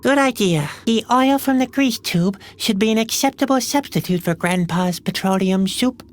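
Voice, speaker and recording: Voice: medium pitch, animated, moderate pace, slight background noise, close to an everyday voice. Game lead, acted: young male jester, earnest, plaintive, hesitant, melancholy yet stubborn. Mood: hopeful. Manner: hesitant, plaintive, self-doubting. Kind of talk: scripted video game acting.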